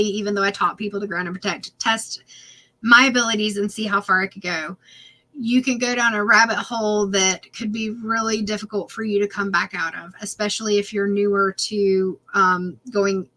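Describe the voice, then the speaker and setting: A woman's voice: mid-range voice, sharp tone, poor audio. A woman co-hosting a casual paranormal podcast, speaking earnestly and giving advice, with frequent ums and restarts, her voice a little worn. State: losing her voice, clearing throat. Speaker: co-host.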